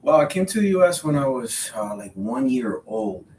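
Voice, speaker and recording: A man in his thirties from the Republic, a Spanish speaker, chatting in an interview in a halting way, repeating words. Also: big pitch swings, a little mellow. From Dominican Republic. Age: 30s. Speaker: podcast guest, realtor. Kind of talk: casual interview conversation. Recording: roomy echo, distant mic, faint rumbling noise.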